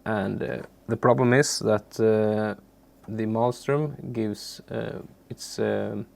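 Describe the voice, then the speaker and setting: A man from Sweden with Swedish as his first language talks through a music software tutorial. His voice is lowish and heavily creaky, and he goes slowly, searching for words in a modest, informal way. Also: fairly even intonation. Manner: halting, searching for words, unassuming. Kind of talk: informal screen tutorial, unscripted. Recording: faint background noise, keyboard clicks.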